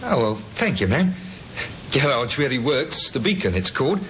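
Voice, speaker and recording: A man's voice: medium pitch, sing-song, moderate pace, fairly bright tone, a little hiss or hum, rough recording. A man playing a courteous, apologetic, grumbling errand man in a 1950s radio drama.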